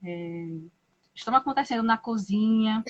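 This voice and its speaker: A Brazilian woman hosting a live-stream interview, speaking slowly and thoughtfully with many hesitations and tag questions. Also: fairly high pitch. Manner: slow, thoughtful, frequent "né?" and hesitations. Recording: muffled lo-fi live-stream audio.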